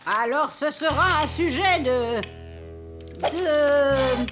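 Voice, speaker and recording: High, formal cartoon schoolteacher. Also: female voice. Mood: formal, dry. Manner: formal, drawn-out classroom announcements. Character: schoolteacher woman, formal and dry. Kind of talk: cartoon voice acting. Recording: music behind the voice.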